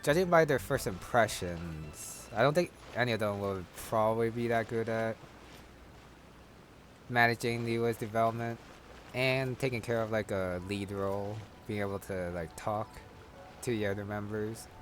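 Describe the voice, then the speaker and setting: A man's voice: fairly low pitch, normal speed. A man casually thinking aloud while playing a video game. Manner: offhand, musing, thinking aloud. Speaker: gamer. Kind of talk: casual let's-play commentary. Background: game music.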